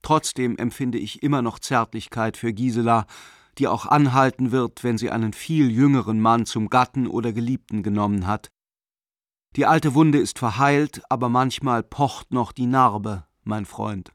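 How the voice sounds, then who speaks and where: A man's voice: mid-range voice, normal speed, no echo, studio quality, close to an everyday voice. An actor playing a sentimental art dealer in his forties, reading out a letter in a radio drama in an earnest, confiding way. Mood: wistful. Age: 40s.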